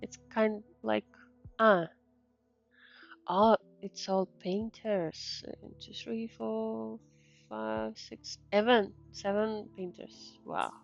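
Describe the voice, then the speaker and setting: Slow, creaky-voiced woman streaming a game. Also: mid-range voice, level tone. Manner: murmured acknowledgements, thinking aloud. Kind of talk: casual game commentary, reading on-screen text aloud. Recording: muffled mic over faint game music.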